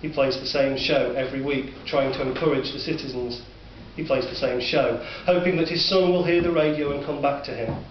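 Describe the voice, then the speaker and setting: A man with a Northern English accent narrating a dark story in a measured, sombre way. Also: medium pitch. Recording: distant, roomy, dull recording with faint hiss.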